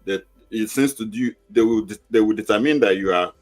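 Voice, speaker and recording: A man's voice: on the low side, a little fast, soft background music. A man, speaking on a panel, explaining his points through concrete examples. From Nigeria. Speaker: panelist, teacher of newcomer classes.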